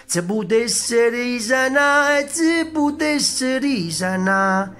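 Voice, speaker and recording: A man voicing a band of rowdy young revelers who chant a slow mock funeral song. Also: very high-pitched, pitched above the performer's own voice. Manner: loud, mocking, drawn-out lamenting song.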